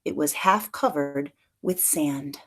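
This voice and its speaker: A woman's voice: deep voice, takes it slowly. A woman reader plays a caring dad in a children's storybook. He is a working man who speaks earnestly, often in a questioning way. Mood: pleased. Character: caring working dad, grown son of grandmother.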